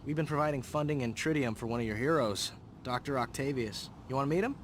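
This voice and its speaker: A young man playing a proud, brisk executive friend in a video game. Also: mid-range voice, a little hiss or hum, close to an everyday voice. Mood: proud, friendly. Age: young adult. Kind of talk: video game cutscene acting.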